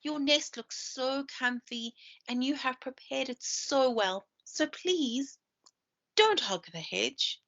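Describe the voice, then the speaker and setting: A woman voicing a friendly, loud, pleading male toad in a children's storybook. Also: high voice, lively intonation, takes it slowly, gravelly, voice pushed up, heavily acted. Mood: hopeful, pleading. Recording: dull lo-fi screen-share recording.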